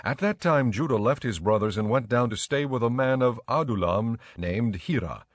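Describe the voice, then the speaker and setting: A man reading scripted scripture narration in a measured, solemn storytelling manner. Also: medium pitch, animated, background noise.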